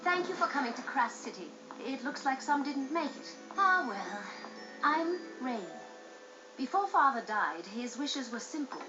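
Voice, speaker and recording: A woman's voice: high-pitched, sing-song, measured pace. A woman playing a cool, poised young crime heiress in a video game, speaking formally and with composure. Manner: formal, composed, smoothly aloof. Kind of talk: video game cutscene acting. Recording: dull game audio under music.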